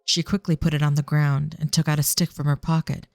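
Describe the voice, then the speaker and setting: Dry, creaky-voiced audio drama narrator. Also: medium pitch, little pitch movement, fairly quick, no room sound.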